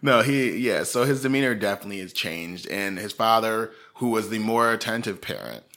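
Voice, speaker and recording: A man with a fairly low voice and a bright, forward tone chats about a true-crime case. He rambles loosely, full of "like" and "um," with easy laughs between thoughts. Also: lively intonation, brisk. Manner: chatty, rambling, lots of fillers and laughs. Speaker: podcast co-host. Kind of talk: casual true-crime chat.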